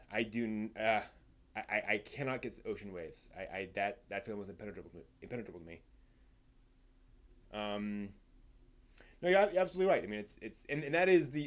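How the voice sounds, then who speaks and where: A man hosting a casual live stream, commenting on news in a rambling, halting way, often restarting his sentences and stressing points before trailing off. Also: fairly low pitch.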